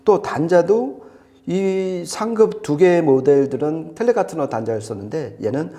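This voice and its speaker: Animated, mellow-voiced man reviewing gear. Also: on the high side, normal speed. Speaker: audio gear reviewer on a shop's video show. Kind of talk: conversational product review.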